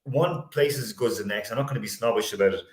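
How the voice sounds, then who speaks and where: A man hosting a sports panel discussion, speaking in halting, stop-start phrases with repeated words. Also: lowish voice. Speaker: sports show host. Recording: roomy, echoey sound.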